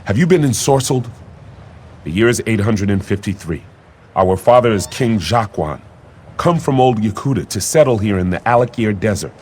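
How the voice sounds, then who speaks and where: An actor playing an eager, loyal young warrior prince in a video game, speaking in formal, earnest phrases. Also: male voice, on the low side, expressive, normal speed, darkish tone, slight background noise, close to an everyday voice. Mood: teasing, concerned. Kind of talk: video game character acting.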